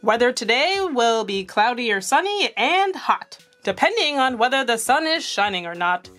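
Chirpy storybook radio announcer done by a woman, high voice, showy broadcast patter. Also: big pitch swings, normal speed, a bit bright, soft background music. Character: chattering gadget radio announcer, cheerful and nonsensical.

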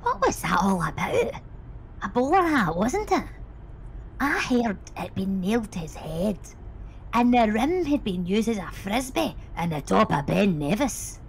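Chatty, wry woman cabbie for audio drama; creaky voice, unhurried telling. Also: medium pitch, big pitch swings, slight background noise. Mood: puzzled. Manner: gossipy, amused, musing aloud. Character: chatty, wry woman taxi driver in rural Scotland. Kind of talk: audio drama monologue, conversational testimony.

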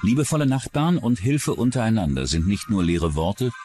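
A man's voice: on the low side, very animated, normal speed, warm tone, soft background music. A man reading scripted true-crime narration as a documentary narrator.

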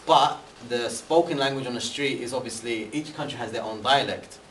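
A man's voice: mid-range voice, moderate pace. A young man, a university student, telling about his studies abroad in a rambling way full of fillers and restarts, as he sits in a large room. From Britain. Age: young adult. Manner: rambling with many fillers and restarts, animated hand gestures. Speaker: guest speaker, university student. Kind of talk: seated talk answering questions. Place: large room with a banner, indoors. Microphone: far camera. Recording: echoey room from far off, faint hiss.

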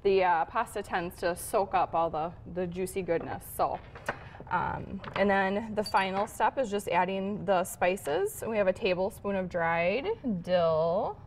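Woman, thirties, mid-range pitch, home cook guest, casual demonstration, lapel mic, slight background noise. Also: unhurried. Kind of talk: casual cooking demonstration and chat. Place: studio kitchen set.

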